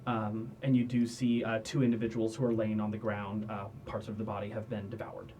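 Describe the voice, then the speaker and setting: A man's voice: lowish voice, flat delivery, brisk, mellow, highs cut off, slight background noise. Man running a tabletop game. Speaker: dungeon master.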